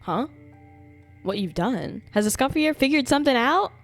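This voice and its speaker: Animated woman livestreamer reacting dramatically. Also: sits high, moderate pace. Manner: dramatic, exclamatory, sweary. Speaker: game livestreamer. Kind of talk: reactive casual livestream commentary. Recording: game music behind voice. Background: game music.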